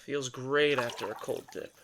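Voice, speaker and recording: A man's voice: mid-range voice, normal speed, a bit bright, slight background noise, natural voice. An actor playing a brooding, reluctant male vampire who answers in dry, curt replies in an ASMR audio drama.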